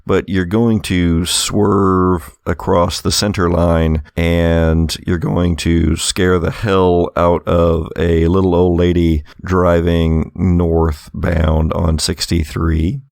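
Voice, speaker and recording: Low gravelly guy running a tabletop rpg, conversational, feeling out descriptions as he goes. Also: little pitch movement, slow, hardly any pauses, a little mellow, no echo, clean studio sound. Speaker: game master. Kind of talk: narrating a tabletop roleplaying game.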